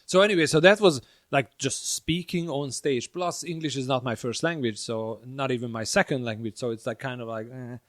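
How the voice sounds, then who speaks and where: A man's voice: mid-range voice, brisk, hardly any pauses, a bit bright, no room sound. A man with a Hungarian accent, a comedian chatting casually with dry, blunt humor and casual swearing. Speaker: comedian and podcast host. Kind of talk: casual chat.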